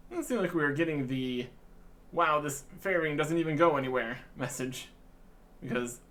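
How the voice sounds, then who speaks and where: A man's voice: mid-range voice, a bit bright. A man giving casual, rambling gameplay commentary in an understated way. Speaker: video game let's player.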